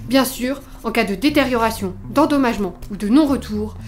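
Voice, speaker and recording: A woman's voice: fairly high pitch, a little fast, soft background music, lightly acted. A woman playing an eccentric ski chalet employee in an audio comedy, giddy and officious, with sing-song and bureaucratic delivery. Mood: officious, evasive. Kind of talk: French comedy audio drama acting.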